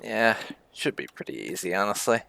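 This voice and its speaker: A man's voice: on the low side, big pitch swings, normal speed, vocal fry, sharp tone. A man hosting a casual roleplay game playthrough, musing and thinking aloud as he goes. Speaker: gaming video host.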